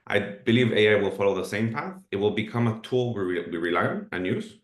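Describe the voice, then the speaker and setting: Guy presenting a webinar, spanish accent, lowish voice, kinda echoey room. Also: animated, a little fast. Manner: earnest, explanatory, with filler restarts. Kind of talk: live webinar talk.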